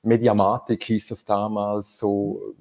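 A man with a mellow, darker tone hosts a casual webcam conversation. He talks in a loose way, with plenty of fillers and pauses while he searches for words. He sounds like he is in a room at home. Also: fairly low pitch, monotone. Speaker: host. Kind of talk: conversational video call. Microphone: video call webcam.

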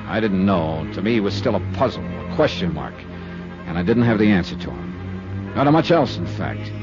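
A man's voice: low-pitched, sing-song, normal speed. A man playing a hard-boiled investigator who narrates and questions people tersely in a 1950s radio detective drama. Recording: dull vintage radio recording with music.

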